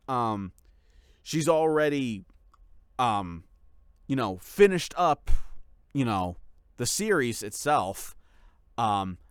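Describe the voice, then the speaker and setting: A man's voice: medium pitch, clean tone, no room sound, studio quality. A man speaking casually as a channel host, giving a rambling, explanatory update to followers. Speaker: ASMR voice actor and channel host.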